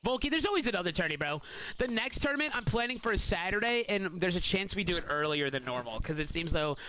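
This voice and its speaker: A man with a hoarse, weakened voice, hosting and commentating a game tournament live on stream in excitable, slang-filled bursts. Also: high-pitched, fast talker. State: hoarse, voice feels weak.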